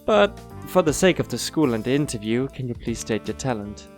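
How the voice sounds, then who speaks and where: A man's voice: mid-range voice, animated, natural voice. Man acting a courteous formal interviewer, faint music. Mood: formal, welcoming. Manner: formal, measured, lightly amused. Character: courteous, teasing male school interviewer, former talent scout. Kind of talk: audio drama interview.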